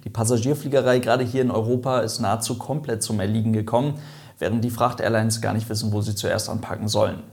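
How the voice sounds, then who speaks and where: A man's voice: fairly low pitch, steady tone, fast talker, vocal fry, a little mellow. Chatty man hosting an aviation explainer. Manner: chatty, lightly joking. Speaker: aviation video host. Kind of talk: casual explainer narration.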